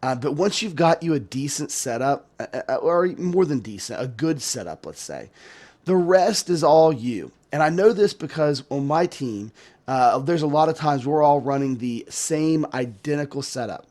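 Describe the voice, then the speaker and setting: A man with a sore throat and a hoarse, tired voice hosts his sim racing channel, talking casually with many restarts and fillers. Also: a little high, expressive, normal speed, darkish tone. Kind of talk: casual talk to camera.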